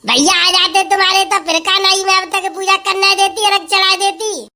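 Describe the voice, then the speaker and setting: A woman's voice: very high voice, steady tone, moderate pace, pushed and bright. A woman voicing a hungry, fed-up village wife who grumbles and scolds in a comic sketch. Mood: complaining. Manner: complaining, scolding, rustic chatter.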